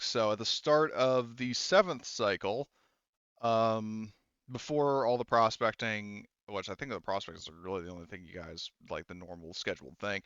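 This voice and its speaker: A man running a tabletop roleplaying game casually as game master, talking in a halting, rambling way. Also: on the low side, fairly bright tone, clean tone, no echo, muffled recording.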